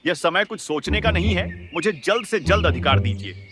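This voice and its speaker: A man voicing a cunning, power-hungry wolf in an animated Hindi moral story, pushy and insistent. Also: high voice, moderate pace, music in the background. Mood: pushy, persuasive.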